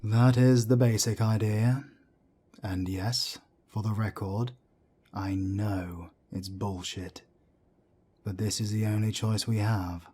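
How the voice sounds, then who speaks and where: A deep, husky-voiced werewolf elder played by a man in an audio drama. He is a wry mentor who talks slowly and deliberately, with a dark, mellow tone. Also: fairly even intonation. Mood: wry, amused. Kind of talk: audio roleplay drama acting.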